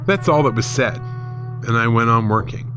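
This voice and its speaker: A man's voice: medium pitch, expressive, normal speed. Guy narrating a scary story, first person, dramatic storytelling, music behind him. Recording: music bed under the voice. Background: background music.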